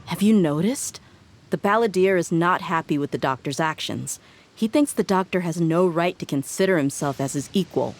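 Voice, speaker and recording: A woman's voice: fairly low pitch, moderate pace, natural voice. A woman playing a tough, plainspoken young female mercenary with a casual, assured manner in a video game. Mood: thoughtful, assured. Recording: faint game ambience behind.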